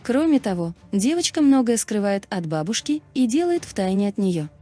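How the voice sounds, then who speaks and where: Female narrator, scripted explainer read; soft-edged tone, very varied intonation, music bed. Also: mid-range voice, big pitch swings, moderate pace. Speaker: video narrator. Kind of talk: scripted documentary-style narration. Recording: music behind the voice. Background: background music.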